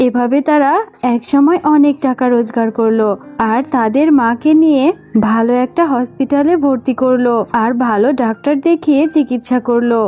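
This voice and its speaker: Casting: female storyteller, soft dark tone, scripted children's cartoon narration over music. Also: a little high, moderate pace.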